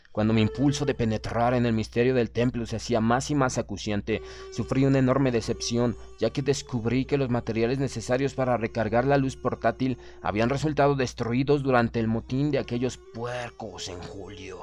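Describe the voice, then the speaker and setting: Male audiobook narrator, mid-range voice, solemn and formal, for measured first-person dramatic literary readings. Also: medium pitch, steady tone, a little mellow.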